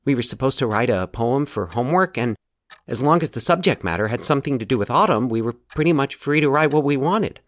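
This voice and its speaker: A man acting a troubled, anxious man in a fiction podcast, confiding his nightmares haltingly and with dramatic pauses. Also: medium pitch, expressive, no room sound, pitched above the performer's own voice, lightly acted. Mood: uneasy. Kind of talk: dramatic audio fiction monologue.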